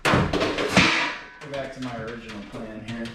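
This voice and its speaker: A man's voice: medium pitch, fairly even intonation, slow pace. A man talking casually and unscripted in a folksy way as he works on a car, half muttering to himself and half explaining to the camera. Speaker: DIY car repair vlogger. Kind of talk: casual unscripted talk while working. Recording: echoey, noisy, clicking, uneven mic distance.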